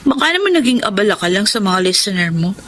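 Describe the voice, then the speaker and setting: A woman's voice: medium pitch, very animated, quick pace, a bit bright, slight background noise. An actress playing a faithful but suspicious, hurt girlfriend in a Filipino radio drama, reproachful and pleading.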